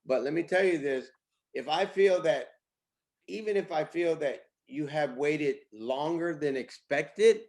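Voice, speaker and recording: A man speaking as a company boss in a casual interview, pitching with emphatic, repetitive phrasing. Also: a little slow, darkish tone. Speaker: company CEO, interview guest.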